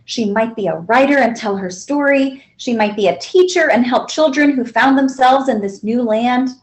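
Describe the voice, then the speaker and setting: A woman narrating a children's picture book aloud in an expressive, deliberate storytelling manner. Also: a little high. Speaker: librarian reading aloud.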